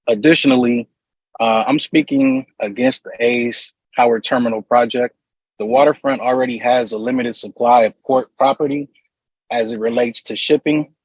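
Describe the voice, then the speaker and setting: A man giving a prepared public comment as a union representative on a remote call, measured and reading from notes. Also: medium pitch, slightly rough, no room sound, low-quality recording. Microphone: remote call audio.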